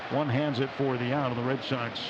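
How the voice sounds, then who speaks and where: A man's voice: medium pitch, fairly even intonation, fairly quick. Man, American accent, baseball play-by-play, live radio commentary, sponsor reads, muffled broadcast sound, noisy ballpark behind. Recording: dull radio broadcast with loud stadium noise. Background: stadium ambience.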